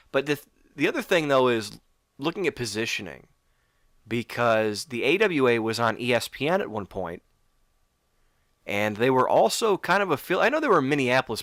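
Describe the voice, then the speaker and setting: A man's voice: mid-range voice, a bit bright, no echo, clean studio sound. A man co-hosting a casual podcast, coming down with a cold and sounding sniffly, rambling and correcting himself as he goes. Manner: rambling, self-correcting, stops to apologize. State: getting sick, sniffly. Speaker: podcast co-host. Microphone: podcast microphone.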